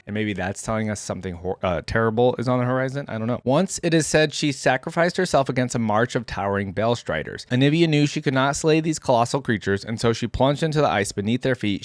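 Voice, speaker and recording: A man hosting a gaming reaction video, reading aloud and commenting casually, thinking out loud in a conversational way. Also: mid-range voice, no room sound, clean studio sound.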